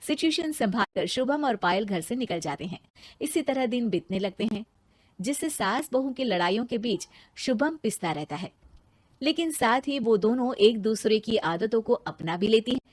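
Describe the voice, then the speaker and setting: A woman narrating a cartoon story and voicing a cheeky young heroine, in a lively way. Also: fairly high pitch, moderate pace, dry recording. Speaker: narrator and lead voice.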